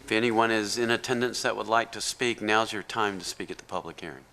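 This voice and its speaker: A man chairing a town council meeting, opening a public hearing in a measured, procedural way. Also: lowish voice, pushed and bright, slight background noise.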